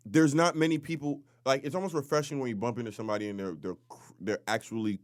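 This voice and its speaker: A man co-hosting a casual podcast, talking informally and haltingly as he searches for words. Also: medium pitch, steady tone, dry recording, studio quality. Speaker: podcast co-host.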